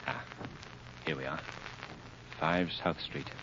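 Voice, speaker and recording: An actor playing a calm, commanding gentleman sleuth in 1930s radio drama, speaking in a measured, grave manner. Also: extremely low pitch. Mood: calm, guarded. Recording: muffled, noisy 1930s radio recording.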